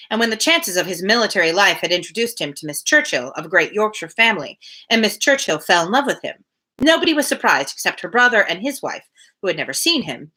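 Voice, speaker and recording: A woman's voice: mid-range voice, bright, forceful tone. A woman reading a classic novel aloud as the host of a live reading, at times speaking casually. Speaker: reader hosting a daily live book reading.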